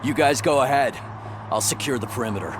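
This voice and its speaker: Medium-pitched male police officer acted by a man, casual, teasing, lively, video game dialogue, heavy street noise. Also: a little fast, close to an everyday voice. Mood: matter-of-fact. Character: laid-back, ribbing male police colleague.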